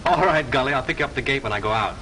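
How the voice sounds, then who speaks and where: A man's voice: medium pitch, expressive, close to an everyday voice. A man playing a cocky young amateur sleuth. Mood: wry. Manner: brash, quick-witted, sarcastic. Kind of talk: 1930s film acting. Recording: faint hiss of 1930s film soundtrack.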